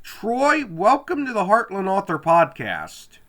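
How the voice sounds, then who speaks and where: A male podcast host with a high, bright voice that swings widely in pitch. He reads his introduction and questions from a list, pausing on "uh" between phrases. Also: huge pitch swings. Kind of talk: scripted intro and interview questions.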